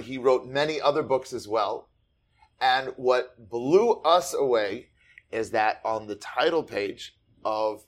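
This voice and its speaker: A man interviewing a guest, enthusiastic and animated as he tells a story. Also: mid-range voice. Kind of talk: conversational interview.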